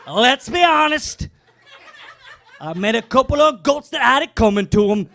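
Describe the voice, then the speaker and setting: Loud guy doing a fake scottish accent, rallying the crowd, gravelly, high and all over the place. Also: expressive, measured pace. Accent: put-on Scottish. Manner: boisterous, shouting rally-style speech to a crowd. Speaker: comedy performer. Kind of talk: rowdy medieval-character stand-up.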